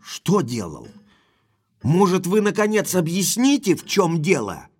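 An actor playing a forthright, impulsive gentleman baronet who talks commandingly in an audio drama. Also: high-pitched, huge pitch swings, a little slow, husky, exaggerated voice. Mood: demanding. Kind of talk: Russian audio drama acting.

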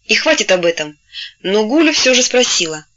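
A woman narrating a novel in a steady storytelling voice, gently taking on the characters' voices when they speak. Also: fairly high pitch, normal speed, dull audio. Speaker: audiobook narrator. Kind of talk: scripted literary narration.

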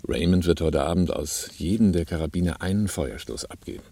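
A man's voice: deep voice, fairly quick, vocal fry, dark tone, slight background noise. An actor playing a seasoned, unsentimental male arms dealer in a radio drama, dry and matter-of-fact. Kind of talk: radio drama dialogue and narration.

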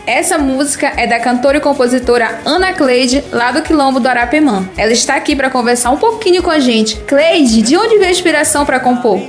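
Female radio host, high voice, from Brazil, upbeat scripted links over faint music. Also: fairly quick, few pauses. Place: radio recording studio.

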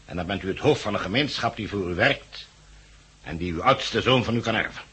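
A man's voice: lowish voice, a little fast, bright, forceful tone, breathy edge, full character voice. A man acting in a 1960s Dutch radio drama as a cold, officious committee envoy, formal and pompous, with a Netherlands accent. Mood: coolly insistent. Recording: muffled-edged vintage 1969 radio recording.

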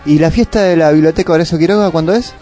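Quick wry Argentine radio co-host. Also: male voice, fairly high pitch, warm tone, soft background music. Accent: Argentine Spanish.

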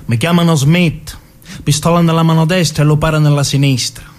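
A man's voice: a little high, steady tone, a little fast, close to an everyday voice. A man playing a bloodthirsty, crude mafia hitman in a radio drama, with a southern Italian accent and a menacing, swearing manner. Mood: boastful, menacing. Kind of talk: stage-style radio drama.

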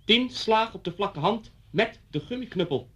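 A man's voice: sits high, lively intonation, normal speed, fairly bright tone, dull audio, heavily acted. An actor playing an officious, menacing policeman in his thirties in a radio thriller, with a Netherlands Dutch accent and formal, pedantic, commanding speech. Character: officious, menacing new neighbourhood policeman, a former pupil. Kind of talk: 1970s radio thriller acting. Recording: slightly rough 1970s radio recording.

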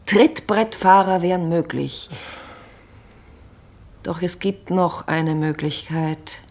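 A woman playing an earnest, analytical investigator in a radio drama, deliberate and husky. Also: lowish voice, fairly even intonation, a little mellow. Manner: deliberate, analytical, hesitant before bold claims. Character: earnest female investigator explaining far-fetched theories. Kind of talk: modern German radio drama acting.

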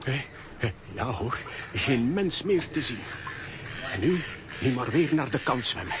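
An actor playing a bumbling, kindly, easily flustered cartoon uncle in a children's audio drama, exclaiming and muttering to himself. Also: male voice, medium pitch, very animated, unhurried, very noisy. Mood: glum muttering.

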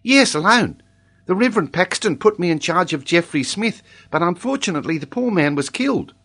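A man's voice: sits high, animated, brisk, fairly bright tone, pitched above the performer's own voice, exaggerated voice. A man voicing a devout, polite, earnest little boy in a storybook reading.

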